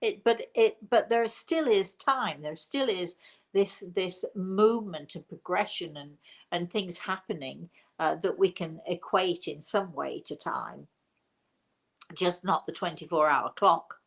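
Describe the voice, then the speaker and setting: An older woman hosting a casual live stream, talking in a halting way and often repeating words as she goes. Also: on the low side, gravelly. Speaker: host. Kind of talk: casual live-stream talk answering viewer comments.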